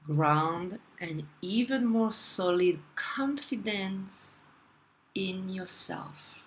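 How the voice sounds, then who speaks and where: A woman leading a guided meditation in a soothing, deliberate manner, leaving long pauses between phrases. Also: medium pitch, low-quality recording.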